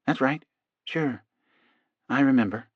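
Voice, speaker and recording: Male reader as earnest, entranced male prisoner for audiobook, soft and hesitant, slightly muffled sound. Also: medium pitch, slightly rough, no echo. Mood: soft, dreamy. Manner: soft, halting, half-distracted. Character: hypnotized male defendant, meek, earnest, hesitant.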